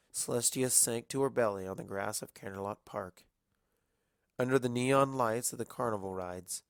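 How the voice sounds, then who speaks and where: A man's voice: mid-range voice, gravelly, no echo, studio quality. A man narrating a scripted story as a reader of fiction.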